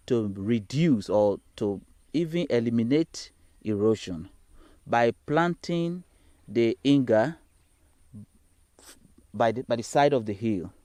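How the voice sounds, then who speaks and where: Male trainer, Sierra Leonean accent, lower register, explanatory delivery with repeated key words, dry recording. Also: dark tone, no echo. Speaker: agricultural trainer. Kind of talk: practical demonstration, explaining in a training film.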